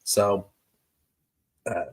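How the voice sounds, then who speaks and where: A man hosting his show's live stream, chatting casually with viewers in an easygoing, halting way, his voice stuffed up from allergies. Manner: easygoing, halting with many pauses. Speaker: show host. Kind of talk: casual livestream chat with viewers.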